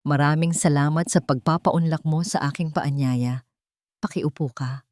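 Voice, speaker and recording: A woman voicing a cold, wealthy matriarch who speaks softly with menacing, controlled authority in an audio drama. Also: low-pitched, fairly even intonation, normal speed, no room sound, clean studio sound, close to an everyday voice. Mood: falsely gracious. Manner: soft-spoken, controlled, commanding.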